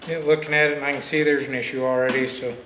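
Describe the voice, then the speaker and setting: A man's voice: medium pitch, racing. Man talking in an echoey shop. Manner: relaxed, rambling, many ums while working. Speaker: workshop video host. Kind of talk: casual hands-on how-to talk. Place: garage workshop. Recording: echoey shop with loud background noise.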